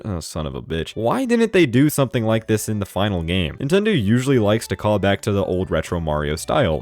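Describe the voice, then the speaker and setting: Lively man doing casual game commentary. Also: on the low side. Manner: informal, opinionated, mildly sweary. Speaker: video game YouTuber. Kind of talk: casual video essay commentary. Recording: music behind the voice. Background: background music.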